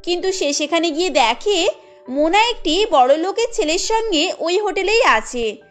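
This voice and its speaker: A woman's voice: very high-pitched, lively intonation, normal speed. A woman delivering scripted narration for a cartoon story. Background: faint music.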